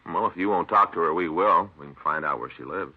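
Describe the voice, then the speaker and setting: A man's voice: sits low, fairly quick. An actor playing a touchy, exasperated policeman, peevish and blustering, in an old-time radio drama. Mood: insistent. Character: touchy, exasperated male policeman, the detective's partner. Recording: dull old radio recording.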